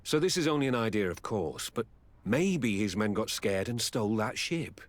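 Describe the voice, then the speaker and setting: An actor playing a guarded army lieutenant in a video game, speaking in a formal, deferential way. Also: male voice, fairly low pitch, expressive, moderate pace, lightly acted. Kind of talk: dramatic video game dialogue.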